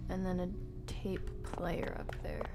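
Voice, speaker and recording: A woman's voice: on the low side, huge pitch swings, slow, over loud music. A woman streaming a game, chatting casually and thinking aloud in an informal, musing way. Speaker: live streamer. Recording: noisy audio with loud background sound.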